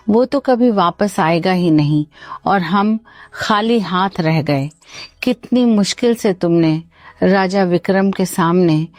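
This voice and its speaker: A woman playing a greedy, bitter village wife in a cartoon story, scolding deliberately. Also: fairly low pitch, a little mellow, no echo.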